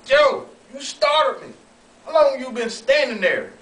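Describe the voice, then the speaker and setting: A man's voice: very high voice, very animated, unhurried, fairly bright tone, pitched above the performer's own voice, full character voice. A man playing a brusque, busy railroad boss in an amateur sketch read-through, emphatic and commanding. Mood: startled, commanding. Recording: roomy, distant, faintly noisy recording.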